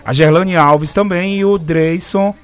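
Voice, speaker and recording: A man's voice: high-pitched, steady tone, a little slow, clear, resonant tone. A man with a Brazilian accent co-hosting a casual live radio show, chatting and passing on listener greetings. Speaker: radio co-host. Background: music.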